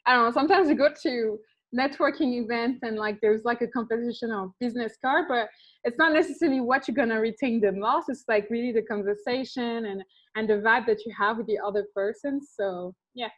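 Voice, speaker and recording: A young woman from France, a hesitant, soft-spoken guest. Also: fairly high pitch, fairly quick. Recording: dull lo-fi sound.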